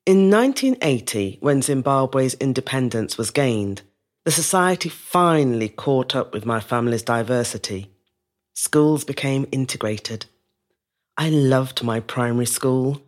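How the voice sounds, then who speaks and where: An actress playing a reflective middle-aged woman born in Zimbabwe, telling her family's story in a measured, lyrical scripted monologue. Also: rumbling low, animated. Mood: warm, reflective. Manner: measured, lyrical, deliberate phrasing. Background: music.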